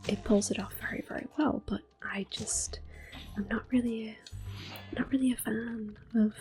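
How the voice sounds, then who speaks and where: A woman's voice: a little high, fairly even intonation, soft background music. Whispering woman, ASMR game commentary. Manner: hushed, unhurried, gentle. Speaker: host of an ASMR gameplay series. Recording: clicking controller noise. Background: controller clicks.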